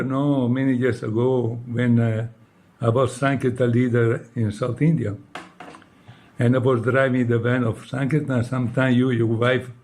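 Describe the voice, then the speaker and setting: Man, Italian accent, non-native English. Halting, reproachful direct address. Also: mid-range voice, darkish tone. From Italy. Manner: reproachful, pleading, halting English with many rhetorical "no?" tags. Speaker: former devotee addressing a leader. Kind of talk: direct personal appeal to camera.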